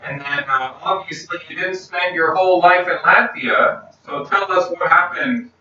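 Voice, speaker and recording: Young male interviewer, very bright tone, short prompting questions, echoey home room. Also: high voice, moderate pace. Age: young adult. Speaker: interviewer, grandson. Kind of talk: casual family interview. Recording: echoey room, distant mic, dull sound, faint hiss.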